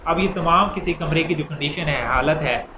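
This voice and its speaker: A man's voice: fairly high pitch, moderate pace. A man with a Pakistani accent giving an informal law lecture, explaining step by step with repetition and examples. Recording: echoey room with clear background noise.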